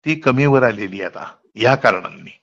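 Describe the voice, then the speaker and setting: A man's voice: mid-range voice, expressive, normal speed, fairly bright tone. Muffled phone call, emphatic man. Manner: emphatic, detailed, mixes in English words. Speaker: college principal. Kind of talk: earnest explanatory phone conversation.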